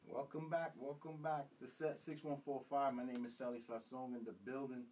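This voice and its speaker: A man hosting a talk show, originally from Puerto Rico, chatting casually with many ums and pauses. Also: medium pitch. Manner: relaxed, hesitant with frequent ums. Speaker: podcast host. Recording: distant mic, faint room noise.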